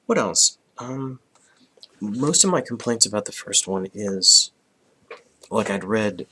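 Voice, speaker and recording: Hoarse man in his thirties, sick with a cold, low and bright-edged voice, rambling comic review. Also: lively intonation, slow. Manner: rambling, frequent restarts and throat clearing. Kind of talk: casual review.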